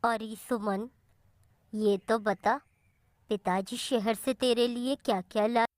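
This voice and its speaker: A woman's voice: on the high side, steady tone, slow pace, darkish tone, no echo. A woman voicing a chatty female cow who talks in a teasing, familiar way in a cartoon story. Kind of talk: animated moral story dialogue.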